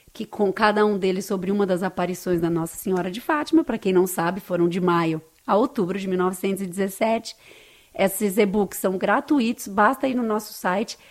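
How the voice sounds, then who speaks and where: A woman's voice: medium pitch, darkish tone. Brazilian woman, interview guest, earnest and eager, mid-range voice. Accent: Brazilian Portuguese. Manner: earnest, enthusiastic, tag questions. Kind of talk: interview answers.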